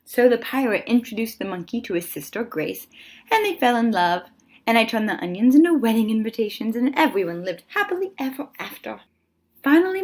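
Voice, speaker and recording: Animated woman reading a children's story. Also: on the high side. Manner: animated, deliberate phrasing with dramatic pauses. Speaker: read-aloud storyteller. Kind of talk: expressive children's picture book read-aloud.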